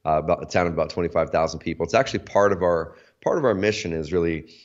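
A man in his thirties, hoarse from allergies, talking energetically as a guest on a video call interview. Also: sits low, quick pace. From Oregon, USA. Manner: energetic, talkative, lots of fillers. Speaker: guest, company CEO. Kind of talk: casual interview. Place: home office, indoors. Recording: slightly dull lo-fi video call audio.

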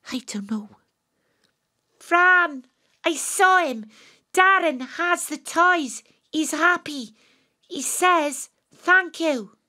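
An earnest teenage girl tells her story in a radio drama. She is emotional and sincere, with a medium-pitched voice recorded very dry. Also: fairly bright tone, slightly rough, no room sound, heavily acted. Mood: hushed, reflective, then desperate calling. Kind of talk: audio drama monologue and dialogue.

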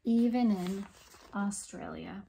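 A woman in her forties reading a children's picture book aloud expressively, pausing for dramatic emphasis on key words. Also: mid-range voice, fairly even intonation, mellow, slightly rough.

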